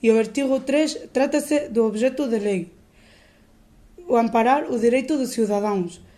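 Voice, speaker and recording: Woman reading, soft tone. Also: fairly high pitch, moderate pace. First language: Portuguese. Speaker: student presenter. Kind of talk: reading a prepared text aloud.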